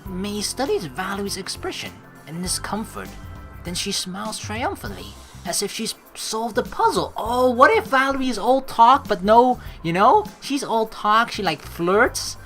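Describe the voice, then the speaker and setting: A woman's voice: on the high side, sing-song, a little slow, fairly bright tone, faint music behind. A young woman doing casual, sweary let's play commentary and reading a visual novel's narration aloud. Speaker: let's player.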